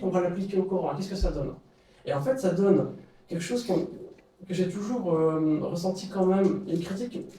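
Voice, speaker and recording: A man's voice: a little high, dark tone. Hesitant man lecturing in an echoey room. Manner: hesitant, many fillers and restarts. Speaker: speaker leading a study circle. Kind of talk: informal lecture with questions. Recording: echoey room, far from the mic.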